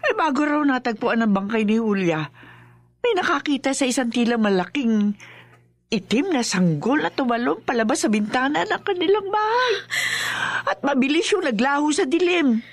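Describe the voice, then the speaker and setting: A woman's voice: sits high, expressive, moderate pace, dry recording. A woman playing a widowed older mother in a radio drama, grave and emphatic. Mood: alarmed.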